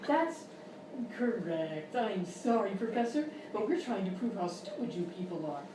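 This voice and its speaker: A woman's voice: mid-range voice, very animated, moderate pace, lightly acted. A woman playing an exasperated, condescending quiz show host in a live radio-style comedy sketch. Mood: mock-polite. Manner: incredulous, scolding, mock-polite. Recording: very echoey live room, far mic, noisy.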